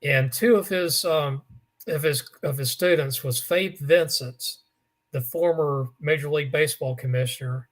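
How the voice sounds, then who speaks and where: A man with a raspy voice, co-hosting a casual sports talk show and telling stories with lots of restarts and fillers. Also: a little high, a little slow. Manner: rambling storyteller, many restarts and fillers. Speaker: co-host.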